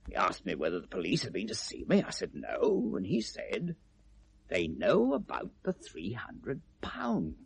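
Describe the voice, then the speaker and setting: Animated, gravelly British shopkeeper. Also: male voice, on the low side, unhurried, full character voice. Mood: defensive. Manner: hesitant, defensive, chatty. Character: evasive, self-justifying male gift-shop owner. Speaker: actor in a radio play. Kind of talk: 1970s British radio drama acting. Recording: vintage radio drama recording.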